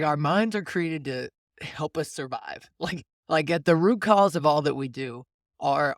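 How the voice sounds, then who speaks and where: A man's voice: on the high side, no room sound, clean studio sound. A man hosting a casual coaching conversation, explaining things informally and often rephrasing as he goes. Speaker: podcast host and mindset coach.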